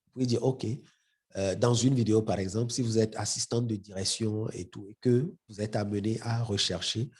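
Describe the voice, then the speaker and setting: A man's voice: on the low side, steady tone, fairly quick, darkish tone, slightly rough. A middle-aged man, leading a live marketing webinar, hesitant and often repeating words. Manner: addresses the audience directly. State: has a head cold. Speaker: webinar trainer.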